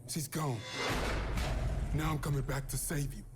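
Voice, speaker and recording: A man's voice: fairly high pitch, a little slow, close to an everyday voice. A man playing a brooding, guilt-ridden, violent young man out for revenge in a video game cutscene. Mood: grim resolve. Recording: loud music over game cutscene audio.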